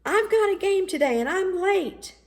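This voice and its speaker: A woman voicing an earnest, expressive young boy in a children's storybook. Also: very high-pitched, moderate pace, pitched above the performer's own voice. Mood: hurried. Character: young boy, earnest, dejected, then excited and determined. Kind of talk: children's storybook read-aloud.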